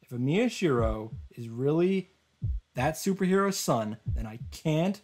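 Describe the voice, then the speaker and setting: A man voicing a desperate, determined young hero, narrating a visual novel in an intense, halting, solemn way. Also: on the high side, animated, darkish tone. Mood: solemn resolve.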